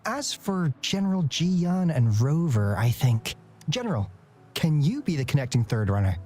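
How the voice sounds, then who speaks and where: A man's voice: fairly high pitch, sing-song, normal speed, a little mellow, slightly rough, soft background music. A man playing an earnest, cautious young relay racer in a video game, polite, tentative and thoughtful.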